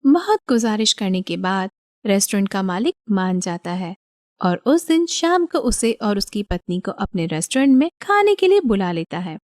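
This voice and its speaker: A woman narrating a scripted animated story as the storyteller. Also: medium pitch, moderate pace, no room sound, clean studio sound.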